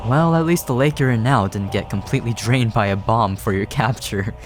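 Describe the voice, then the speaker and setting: A man's voice: medium pitch, brisk. A man giving casual, reactive commentary on a video game he is playing. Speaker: gaming streamer. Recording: game music behind the voice. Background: video game music.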